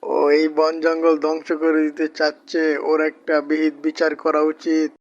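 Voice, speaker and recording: A man's voice: a little high, monotone, moderate pace, no echo, natural voice. A man voicing a kindly uncle mango tree in a plaintive, pleading manner. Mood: sorrowful. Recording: muffled, dull audio.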